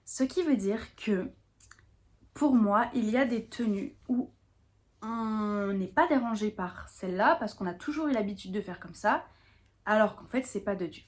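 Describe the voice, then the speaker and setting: A woman's voice: mid-range voice, unhurried. French woman explaining faith matters earnestly. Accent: French from France. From France. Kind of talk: explanatory faith talk to viewers.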